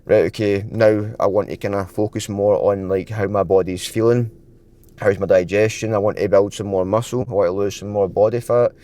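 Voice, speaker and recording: A young man with a lowish voice chats to camera about his fitness routine. He rambles casually and leans on filler words, and his throat sounds dry and a little croaky. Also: darkish tone. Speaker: fitness vlogger. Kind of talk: casual vlog talk to camera.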